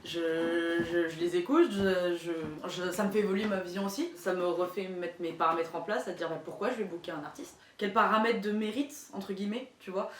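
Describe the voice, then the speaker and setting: A woman talking casually and reflectively, halting as she searches for her words. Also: on the low side, fairly even intonation. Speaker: event programmer. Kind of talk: casual reflective interview talk. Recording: echoey room, off-mic, faint noise.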